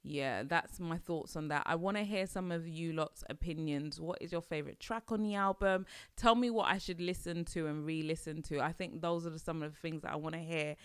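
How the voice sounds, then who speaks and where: A woman with a low, smooth, resonant voice hosts her own podcast, chatting casually and musing aloud with frequent restarts. She sounds young and relaxed. The recording is dry and close. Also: brisk, no echo. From Nigeria. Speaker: podcast host. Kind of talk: casual solo podcast chat.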